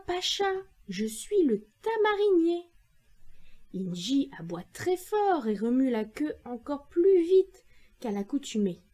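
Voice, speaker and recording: A woman reads a children's audio story. Her high voice moves through wide melodic swings at an unhurried pace, in the expressive manner of a storyteller. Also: breathy edge.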